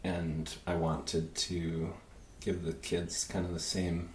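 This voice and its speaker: A man in his thirties who grew up in Peru, a podcast guest speaking haltingly with many fillers and restarts. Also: sits low, little pitch movement. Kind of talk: casual conversation. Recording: echoey room with faint noise.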